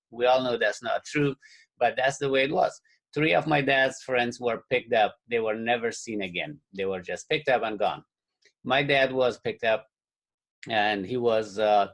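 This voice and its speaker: A man from Afghanistan telling his life story on a webinar call, earnest and halting with many fillers. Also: mid-range voice, low-quality recording. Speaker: webinar guest speaker. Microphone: call or webinar audio.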